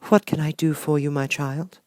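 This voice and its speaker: A man voicing a kindly young good witch in a storybook, gentle, gracious and measured. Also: on the high side, steady tone, no room sound, voice pushed up, close to an everyday voice.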